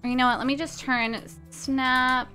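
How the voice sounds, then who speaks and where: Bright, high-voiced young woman streamer. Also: level tone, moderate pace, faint music behind. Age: 20s. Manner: chatty, informal, frequent "like". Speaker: game streamer. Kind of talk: casual live-stream chat while playing a game. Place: small indoor room at home.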